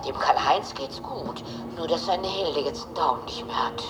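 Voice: mid-range voice, expressive, moderate pace, close to an everyday voice. An actor playing a gossipy, rambling caller who prattles on chattily in a radio drama. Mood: chatty, insistent. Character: gossipy neighbourly caller prattling about a gruesome mishap. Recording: music under the voice.

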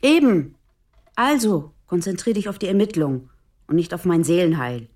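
An actress playing a guarded, matter-of-fact police detective of about forty in a naturalistic radio crime drama. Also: female voice, on the low side, lively intonation, darkish tone. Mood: irritated, cutting. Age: around 40. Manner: matter-of-fact, brisk, cutting when provoked. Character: female police detective around 40, guarded, sharp-tongued.

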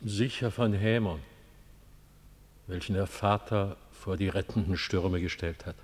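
A husky, grave elder of a tragic chorus speaks slowly in radio verse drama. His low voice rings with long reverb. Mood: foreboding.

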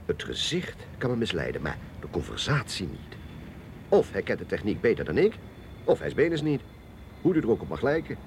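A modest captain and technical expert in a radio play. His lowish male voice is expressive in pitch, conversational and earnest. There is faint noise on the recording. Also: normal speed. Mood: confident, insistent. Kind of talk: Dutch radio drama acting.

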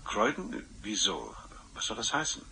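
A man's voice: on the low side, big pitch swings, normal speed, sharp tone, breathy edge, exaggerated voice, rough recording. An actor playing a composed, formal and cagey man confessing to a murder in a German radio play. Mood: insistent, guarded.

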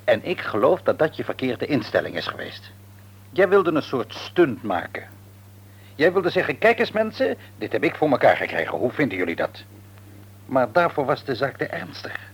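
A frank, reasoning older father in a radio drama. His middle-pitched voice is husky and moves expressively as he gently reproves his son, sometimes pausing to find his words. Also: huge pitch swings, full character voice. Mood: frank, reproving. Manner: reasoning, hesitant at times, frank.

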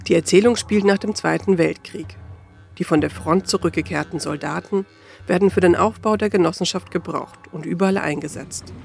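A man's voice: high-pitched, fairly quick, gravelly, soft background music. A man narrating a radio feature from a script.

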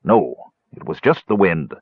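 Man voicing a big male warrior, grief-stricken, stubborn, blunt, lively low voice. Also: unhurried, husky, dry recording, muffled recording, full character voice. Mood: grieving.

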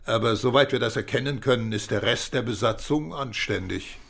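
Husky, creaky senior police chief. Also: male voice, medium pitch, brisk, fairly bright tone. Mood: businesslike. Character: senior British police chief, measured, courteous, knighted man. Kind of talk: radio drama dialogue.

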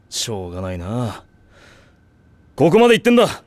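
An actor playing a steady teenage team captain, firm and a little exasperated, in an anime drama CD. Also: male voice, medium pitch, a little slow, dry recording. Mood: resigned.

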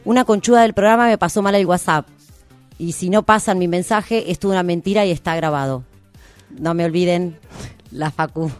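A woman's voice: lowish voice, brisk, no echo, soft background music. An Argentine woman hosting a casual live radio show, talking in a playful, informal way.

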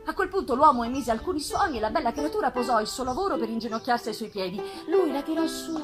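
A woman reads a literary story aloud in a somewhat high voice. Her delivery is theatrical and expressive, with deliberate pauses and stretched phrases. Live music plays clearly behind her throughout. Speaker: literary reader performing on stage. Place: live theatre festival performance. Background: live musical accompaniment.

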